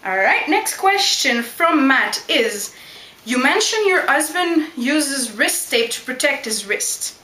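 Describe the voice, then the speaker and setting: Bright-voiced young woman, chatty. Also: sits high, very animated, slow. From Montreal, Quebec, Canada. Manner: chatty, direct, keeps asking "you know what?". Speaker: backyard wrestler. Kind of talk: casual Q&A vlog. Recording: echoey room.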